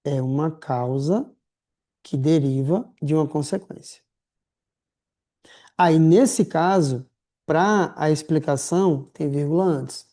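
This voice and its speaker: A man teaching a writing class in a lively, slangy, chatty way, calling his students affectionate names. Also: fairly high pitch, slow pace, pauses often. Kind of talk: casual recorded lecture.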